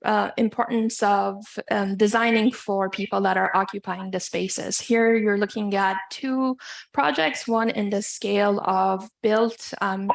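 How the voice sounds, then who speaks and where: A woman from Iran giving an academic lecture as a guest speaker, measured and hesitant, pausing often as she goes. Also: medium pitch, creaky.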